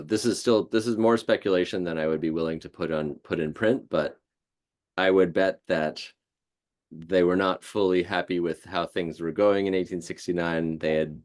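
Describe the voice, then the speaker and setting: A man giving a considered, hesitant explanation as a guest lecturer answering questions after a talk. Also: a bass voice. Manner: thoughtful, hesitant, measured. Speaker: guest speaker, historian.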